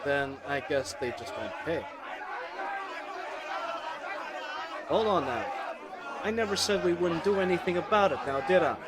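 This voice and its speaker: A man's voice: high-pitched, very noisy, close to an everyday voice. A man playing a charming, cocky bandit crew leader in an audio roleplay, with a teasing, swaggering, conversational manner. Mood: commanding, cocky. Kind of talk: dramatic audio roleplay acting.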